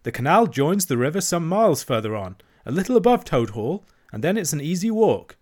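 A man's voice: fairly high pitch, big pitch swings, a little fast, voice pushed up. A man doing a hearty barge woman. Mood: polite. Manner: polite and hearty, quietly sly. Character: hearty, shrewd middle-aged barge woman.

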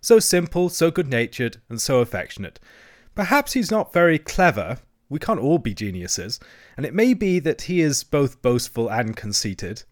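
A man voicing a cheerful, good-natured water rat in a children's story, chatty, colloquial and quick with opinions. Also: medium pitch, big pitch swings, no echo, clean studio sound, lightly acted. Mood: warm, fond.

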